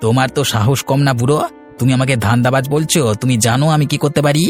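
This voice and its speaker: A man's voice: medium pitch, fairly even intonation, quick pace, soft background music, natural voice. Sly cartoon village schemer, acted by a man. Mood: bullying, dismissive. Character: wheedling, bullying village schemer. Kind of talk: Bengali moral-story cartoon dubbing.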